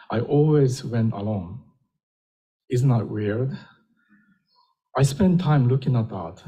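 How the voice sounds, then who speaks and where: An older man gives a public lecture, gentle and wry with small pauses. His voice is low, mellow and husky. He speaks very slowly. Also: dull audio. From Japan. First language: Japanese. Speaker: professor giving a lecture.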